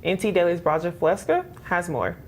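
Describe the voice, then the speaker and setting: A woman anchors a student newscast with crisp, scripted reading. A faint noise sits under her voice. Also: lowish voice, very animated, fast. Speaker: news anchor.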